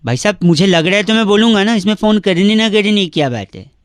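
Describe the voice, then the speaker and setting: A man making radio prank phone calls, teasing and provoking the person on the line with mock-innocent calm. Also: high-pitched, fast, clean tone, no echo, studio quality.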